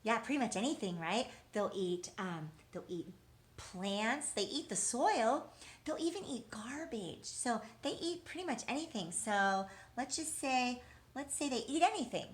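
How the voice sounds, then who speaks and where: A woman with a slightly high voice leads an interactive lesson for young children at a very slow pace. She poses simple questions and answers them herself as though children had replied. Her manner is encouraging, and she repeats key words deliberately. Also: expressive. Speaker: teacher.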